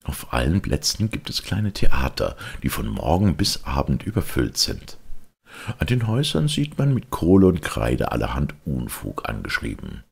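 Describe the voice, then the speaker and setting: Very deep, gravelly male storyteller. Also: huge pitch swings, moderate pace. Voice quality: gravelly, husky. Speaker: audiobook narrator. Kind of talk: expressive scripted storytelling for children.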